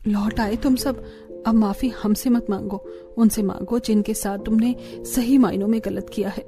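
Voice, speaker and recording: A woman's voice: mid-range voice, steady tone, normal speed, over music, lightly acted. A woman playing a hurt, stern village wife and mother in a cartoon story, firm and reproachful. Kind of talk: Hindi cartoon story acting.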